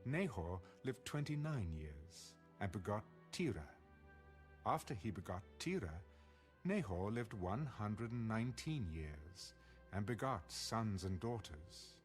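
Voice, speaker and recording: A man narrating scripture from a script in a measured, formal way. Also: lowish voice, big pitch swings. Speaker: audio Bible narrator. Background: soft background music.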